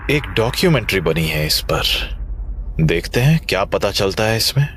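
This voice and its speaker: Deep-voiced, measured man playing an investigator. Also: sing-song, a bit bright, a little hiss or hum. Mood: thoughtful. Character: calm, analytical male investigator of a cursed coin. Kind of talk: animated horror story dialogue.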